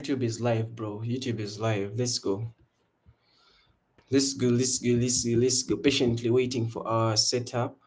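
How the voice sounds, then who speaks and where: A man's voice: medium pitch, a little slow. A young man from Uganda with a Ugandan accent, hosting a casual live trading stream and chatting loosely to viewers from an indoor room. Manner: relaxed, repetitive hype calls to viewers. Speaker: livestream trading host. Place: indoor room before a branded backdrop.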